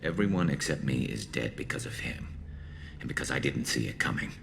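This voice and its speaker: A haunted, vengeful alien mercenary in a video game. The voice sits extremely low with a heavy gravelly creak and a metallic flanged edge. The delivery is measured, dry and brooding, over faint background ambience. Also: male voice, lively intonation, noisy background, heavily acted. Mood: bitter, determined. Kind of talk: video game dialogue acting.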